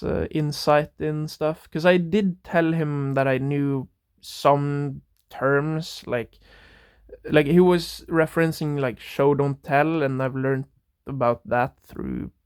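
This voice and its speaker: A young man talks slowly in a smooth, clear voice pitched a little high. He rambles and thinks aloud on his own, drifting from one tangent to the next. The sound is dry and close. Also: a little mellow, no echo. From Norway. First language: Norwegian. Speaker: podcast host. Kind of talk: casual solo monologue.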